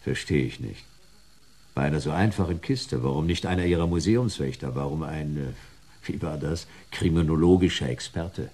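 Gravelly, very deep hardboiled detective. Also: male voice, moderate pace. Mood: dry, businesslike. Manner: deadpan, clipped. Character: laconic, hardboiled private detective narrating his case. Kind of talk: hardboiled radio drama narration. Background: music.

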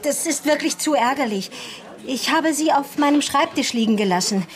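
An actress playing a prim, prickly young secretary in a radio drama, giving curt, clipped replies. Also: female voice, sits high, expressive, moderate pace. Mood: irritated, flustered.

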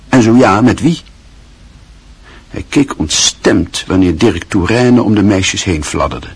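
Very husky, low-voiced man narrating. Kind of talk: scripted literary narration for radio drama.

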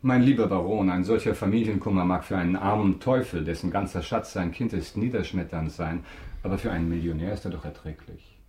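Actor as suave, mellow count in roomy sound. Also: male voice, sits low, close to an everyday voice. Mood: smoothly ironic. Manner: polite, measured, ironic. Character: suave, cool aristocratic count, quietly calculating avenger. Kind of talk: German radio drama acting.

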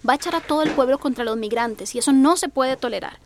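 A woman's voice: sits high, fast talker, a little hiss or hum. A woman playing a compassionate, anxious migrant mother in a radio drama, speaking with earnest emotion. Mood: worried. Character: worried, compassionate migrant mother standing her ground. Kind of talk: Spanish-language radio drama acting.

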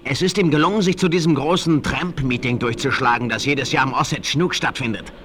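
An excitable little frontier scout, acted by a man in a vintage radio play. His voice is bright and sharp, eager and friendly, with faint record noise. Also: mid-range voice, fairly quick, close to an everyday voice. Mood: earnest report. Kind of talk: 1960s German radio play acting. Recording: slightly noisy old vinyl.

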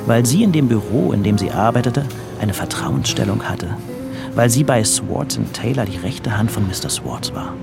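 A man's voice: medium pitch, animated, normal speed, creaky. A man narrating a scripted literary story as the narrator of an audio drama. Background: music.

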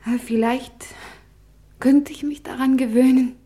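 An actress playing a concerned, loyal woman friend in a radio crime drama, soft and composed. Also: high voice, steady tone, unhurried, faint music behind. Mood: tender.

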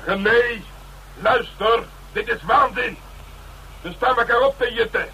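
An actor playing a tough, sardonic police detective who taunts bluntly in a radio crime drama. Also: male voice, very high-pitched, a little slow, bright, forceful tone, full character voice. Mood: hard, urging. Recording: noisy old radio drama recording.

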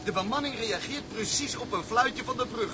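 Very high, gleeful sailor, loud rumble. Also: male voice, lively intonation, moderate pace. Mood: amused. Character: gleeful, teasing sailor keeping score.

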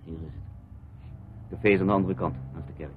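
Male actor as low-voiced, dry, sceptical man. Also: fast, soft background music, close to an everyday voice. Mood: matter-of-fact. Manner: dry, probing, laconic. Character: dry, sceptical, unhurried man, quietly in charge. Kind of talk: radio drama acting. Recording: muffled phone-like old radio play.

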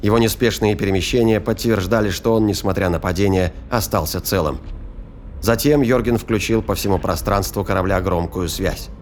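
A man narrating a scripted science-fiction thriller in a measured, formal storytelling manner. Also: fairly low pitch, a little mellow, slight background noise.

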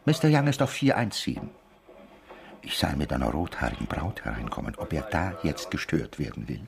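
An actor playing an absent-minded, chatty hotel receptionist in a German radio play. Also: male voice, very deep voice, big pitch swings, brisk, gravelly, heavily acted. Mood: puzzling, chatty. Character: distracted male hotel receptionist absorbed in crosswords.

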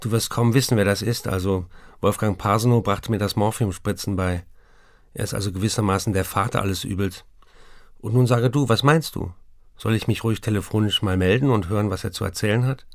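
An actor playing an affectionate writer reading his love letter aloud in a radio play, earnest, measured and formal. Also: fairly low pitch, dry recording, close to an everyday voice. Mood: confiding. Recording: close dry voice over music. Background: music.

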